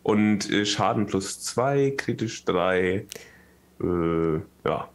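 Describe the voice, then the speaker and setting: A man chatting casually as a player in a tabletop game, hesitant and halting as he works things out. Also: fairly low pitch, lively intonation.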